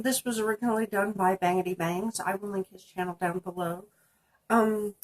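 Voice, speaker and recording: A woman's voice: medium pitch, low-quality recording. Stuffy-nosed woman chatting about books. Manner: chatty, many ums, reading prompts aloud. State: stuffy from a sinus infection. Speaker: book vlogger. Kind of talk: casual book tag video.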